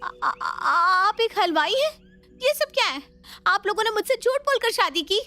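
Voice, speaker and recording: A woman's voice: very high voice, sing-song, a little slow, bright, forceful tone, pitched above the performer's own voice, full character voice. A woman playing a feisty, suspicious young Indian bride in a family story, dramatic and plaintive. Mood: shocked.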